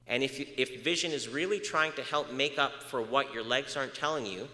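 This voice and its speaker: A man's voice: medium pitch, fairly quick, sharp tone. A man giving a public lecture, asking the audience questions, with many uh fillers and moments off the microphone. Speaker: research speaker. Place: large echoey lecture hall. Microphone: moves off mic at times. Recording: echoey hall reverb.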